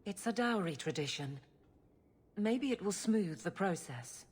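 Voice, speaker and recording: An actress playing a stern, awkward, lovesick guard captain in a video game, blunt and earnest, with a husky voice. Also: female voice, mid-range voice, animated, a little slow, darkish tone. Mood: flustered. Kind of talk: video game character acting.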